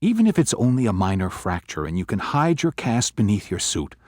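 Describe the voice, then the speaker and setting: A man's voice: lowish voice, fairly quick, no echo, studio quality. An actor playing an older male law firm partner who is jovial and teasing, in an audio drama. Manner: avuncular, wry and lively.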